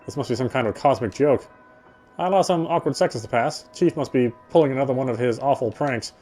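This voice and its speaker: A man's voice: mid-range voice, fast talker, warm tone, soft background music. A man reading first-person visual novel narration aloud in a conversational way, now and then stumbling and repeating himself.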